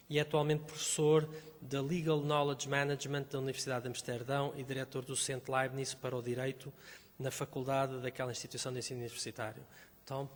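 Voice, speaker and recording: Guy moderating a conference panel, flat formal intros, echoey room. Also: mid-range voice. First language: Portuguese.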